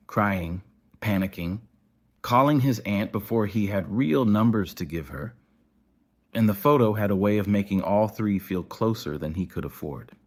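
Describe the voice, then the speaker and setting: A man narrating a scripted audio story. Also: on the low side.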